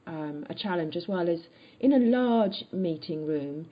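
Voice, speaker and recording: Husky woman in dull audio. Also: on the low side, expressive, measured pace. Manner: reflective, tentative phrasing, affirming. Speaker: podcast host. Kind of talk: conversational interview.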